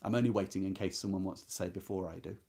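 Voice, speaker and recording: A man's voice: deep voice, fairly even intonation, fast, creaky, dark tone. A man hosting a literary readalong, reading and explaining with engaged emphasis, repeated key words and rhetorical questions. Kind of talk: book discussion with expressive read-aloud passages.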